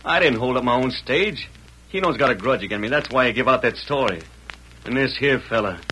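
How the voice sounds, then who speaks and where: An actor playing a bitter, desperate young cowboy with an American Western drawl and folksy slang in a radio western. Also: male voice, medium pitch, animated, brisk, sharp tone. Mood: angry, defensive. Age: young adult. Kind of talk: 1940s radio western acting. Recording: noisy vintage radio recording.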